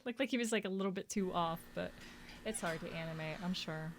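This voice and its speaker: A woman's voice: fairly low pitch, a little fast. A woman streamer giving casual, informal reaction commentary with a wry, joking manner. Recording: noisy, film audio behind voice. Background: sound of the horror video being watched.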